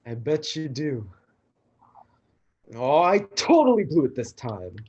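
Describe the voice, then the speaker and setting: A man playing an awkward, downcast young god of destruction in a staged reading, husky and hesitant. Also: fairly high pitch, sing-song, slow, darkish tone, dull audio, full character voice. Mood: flustered. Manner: hesitant, self-conscious. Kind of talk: live staged play reading.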